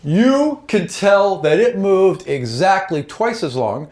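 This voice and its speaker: A man's voice: high voice, very animated, takes it slowly, a little mellow. A man narrating a tabletop fantasy game as game master, shifting between descriptive storytelling and casual table talk. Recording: music bed under voice. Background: background music.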